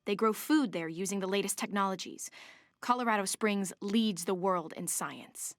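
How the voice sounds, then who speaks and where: A woman's voice: medium pitch, normal speed, no echo, studio quality, close to an everyday voice. Woman playing a prim, proud young heiress. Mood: resolute. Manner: formal, prim, self-assured. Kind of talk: video game voice acting.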